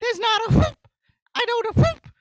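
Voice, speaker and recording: An excitable young puppet-show princess, voiced by a puppeteer, with a high, swooping voice. She is plucky and exclaims a lot, addressing children directly. The recording is dry and close. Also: moderate pace, dry recording, low-quality recording. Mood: panicked.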